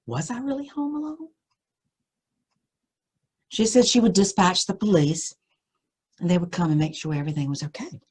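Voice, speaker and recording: A woman reading a spooky first-person story aloud, with suspenseful, deliberate phrasing and dramatic emphasis. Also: on the low side, a little mellow, slightly rough.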